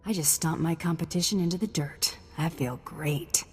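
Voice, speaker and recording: An actress playing a tough, cocky female bounty hunter in a video game, terse and deadpan. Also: low-pitched, normal speed, breathy edge, soft background music. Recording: long hall-like reverb.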